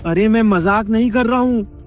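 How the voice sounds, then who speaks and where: A man's voice: high-pitched, normal speed, soft background music, close to an everyday voice. A man voicing a frightened young village man in a cartoon, pleading and insistent. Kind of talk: cartoon voice acting.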